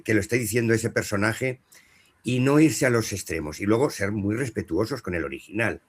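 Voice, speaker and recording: Brief: middle-aged man, low voice, dubbing director at a panel, hesitant storytelling. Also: a little fast, husky. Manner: anecdotal, many fillers and restarts. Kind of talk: panel talk at a professional meeting.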